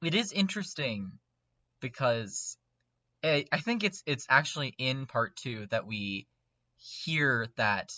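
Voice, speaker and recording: A man chatting casually and excitably as a fan on a podcast, with an emphatic, animated manner. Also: mid-range voice, no echo, highs cut off. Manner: animated, emphatic, quick to laugh. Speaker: podcast panelist.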